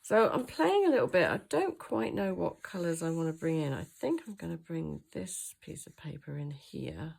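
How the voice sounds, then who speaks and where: A woman's voice: low voice, very animated, moderate pace, creaky, few pauses, a little mellow, slightly rough. A woman hosting a papercraft tutorial, sounding throaty from a cold and thinking aloud with frequent hesitations. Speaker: craft channel host. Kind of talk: chatty hands-on craft tutorial.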